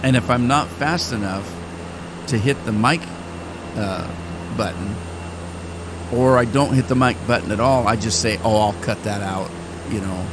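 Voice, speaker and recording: An older man chats through a gaming session in a slightly low voice, wandering off topic and restarting sentences. His voice has deepened and cracks a little. A steady plane engine hum sits clearly under his talk. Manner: rambling, self-deprecating, with pauses and restarts. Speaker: gaming channel host. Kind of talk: casual gameplay commentary. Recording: engine noise behind the voice. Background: flight simulator engine noise.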